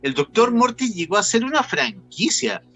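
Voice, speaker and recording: A man's voice: high voice, sing-song, moderate pace, pushed and bright, faint music behind. A man with a Chilean accent hosting a casual interview in an informal, slangy way. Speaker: interview show host.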